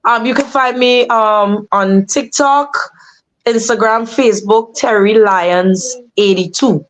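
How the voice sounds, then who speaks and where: Husky-voiced woman guest, animated and laughing. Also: a little high, slow pace, poor audio. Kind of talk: casual chat.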